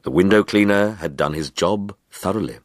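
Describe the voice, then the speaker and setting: A man reading a short story as dramatic scripted narration, in a measured, deliberate manner. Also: low voice, no echo, clean studio sound. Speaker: story reader.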